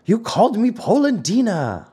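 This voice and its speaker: A man's voice: fairly high pitch, big pitch swings, slow, darkish tone, slightly rough, pitched above the performer's own voice, heavily acted. A man voicing a dapper, hot-tempered little old man in a storybook, easily offended and emphatic. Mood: indignant. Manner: indignant, emphatic, swooping.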